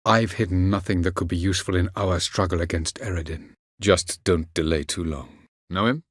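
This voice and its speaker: Casting: ancient elf sage, video game. Deep voice, very dry close sound, aloof, measured, archaic and condescending. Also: male voice, dry recording. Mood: measured, grave. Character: aloof, haughty ancient elven sage and mage. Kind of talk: video game character acting.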